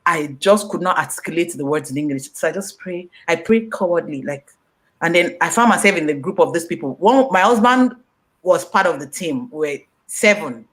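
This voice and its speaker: A woman whose first language is Yoruba, answering questions as an author at a book launch, animated and laughing, with many fillers. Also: on the low side, moderate pace. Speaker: author being interviewed.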